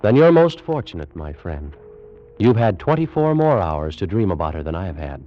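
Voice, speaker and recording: An actor playing a proud, fervent ancient Egyptian priest and alchemist in a grave, theatrical 1940s radio drama style. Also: male voice, sits low, sing-song, normal speed, faint music behind. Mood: smitten, urgent. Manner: grave, formal, theatrical delivery. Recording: muffled old-time radio recording.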